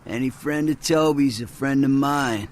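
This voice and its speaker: An actor playing an easygoing, streetwise smuggler using casual slang in a video game cutscene. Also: male voice, mid-range voice, normal speed, a little hiss or hum, lightly acted. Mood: casual, businesslike.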